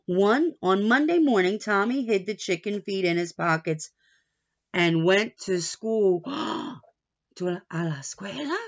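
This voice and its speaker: A woman reading a picture book aloud to young children like a teacher, playful and expressive, with asides, questions and some Spanish words mixed in. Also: mid-range voice, measured pace, highs cut off. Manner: playful, expressive, with gasps and exaggerated reactions; drops Spanish words in and translates them.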